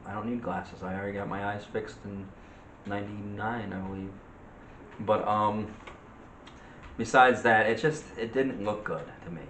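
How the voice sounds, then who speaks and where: A middle-aged man with a lowish voice gives opinions in casual chat. He is plain-spoken and halting. The sound is roomy and echoing, with a little noise. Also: steady tone, a bit bright. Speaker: co-host of a movie review channel. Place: room at home. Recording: roomy echo, faint background noise.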